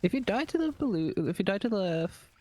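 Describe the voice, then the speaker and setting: A man's voice: high-pitched, big pitch swings, fairly quick, a little mellow. A man co-commentating a live speedrun race, chatty and asking his partner questions. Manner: chatty, asks questions, laughs often.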